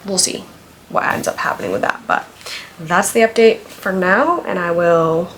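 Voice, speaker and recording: A woman with a somewhat low voice, stuffy and congested from a lingering cold. She chats in a loose, rambling way, full of little fillers. There is a faint hiss of noise behind her. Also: moderate pace, fairly bright tone. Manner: chatty, rambling, many "like"s and "um"s. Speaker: book vlogger. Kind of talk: casual vlog chat.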